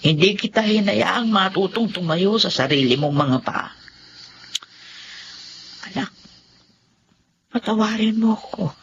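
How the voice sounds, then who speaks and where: A woman playing an older, caring, meddlesome mother in a radio drama, speaking in a halting, motherly way. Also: medium pitch, lightly acted. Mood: contrite, tender. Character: meddling but caring older mother, wounded, then contrite. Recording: dull lo-fi audio with faint noise.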